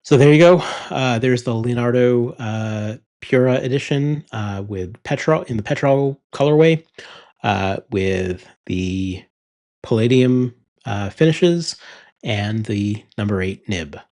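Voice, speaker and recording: A man hosting his fountain pen channel, talking through a pen review in a relaxed way with many ums and uhs. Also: medium pitch, slow. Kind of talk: casual product review.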